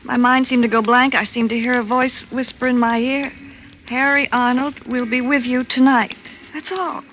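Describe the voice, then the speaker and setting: An actress playing a fragile, haunted clairvoyant in an old radio melodrama, with a breathless, fearful delivery. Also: female voice, on the high side, fairly even intonation, moderate pace, fairly bright tone, a little hiss or hum, lightly acted. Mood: dazed. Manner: breathless, fearful, hesitant then frantic. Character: terrified clairvoyant stage partner, haunted and fragile. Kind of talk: 1940s radio melodrama acting. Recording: vintage radio broadcast, music behind.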